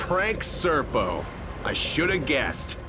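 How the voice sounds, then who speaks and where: An actor playing a distrustful survivor, contemptuous and biting, with coarse language. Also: male voice, mid-range voice, sing-song, takes it slowly. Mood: scornful. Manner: scornful, biting, swearing. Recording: muffled game audio with music. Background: music.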